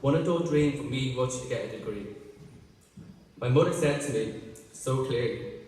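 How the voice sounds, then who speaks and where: A middle-aged Irish man delivers a prepared speech slowly and deliberately, each phrase set apart. He is heard from far off in a large ceremony hall. His voice trails into a long, roomy reverb. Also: medium pitch, darkish tone. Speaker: graduate giving a speech.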